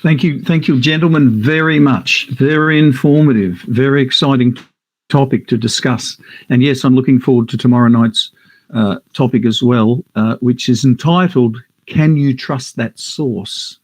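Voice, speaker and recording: A man's voice: medium pitch, moderate pace. Chatty Australian man hosting. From Western Australia. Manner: chatty, with many fillers and restarts. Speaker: host. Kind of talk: casual live-stream commentary. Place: home office.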